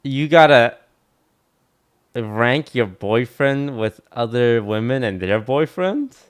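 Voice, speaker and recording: A man's voice: mid-range voice, expressive, takes it slowly, smooth. A man streaming, reacting to a video with dry, blunt, teasing remarks. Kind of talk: casual live-stream commentary.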